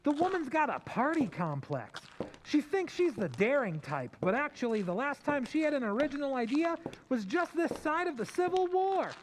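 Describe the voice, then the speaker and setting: A man's voice: very high voice, sing-song, normal speed, poor audio. An actor playing a cynical, slangy young man griping to his fiancée in an old-time radio drama, over some noise. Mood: sardonic griping. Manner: slangy, sardonic, exaggerating.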